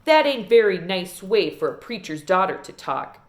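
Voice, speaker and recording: A woman's voice: mid-range voice, big pitch swings, normal speed, natural voice. A woman voicing a taunting ten-year-old boy, a bratty bully with folksy speech, in a storybook reading. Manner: goading, folksy ungrammatical speech.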